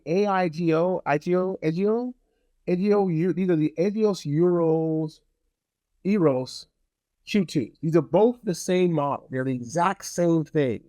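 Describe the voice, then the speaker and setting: Higher-voiced man giving a casual gadget review. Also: a little mellow, no echo. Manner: conversational, rambling, repeats phrases for emphasis; opinionated, blunt. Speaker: product reviewer. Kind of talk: casual product review, talking to viewers.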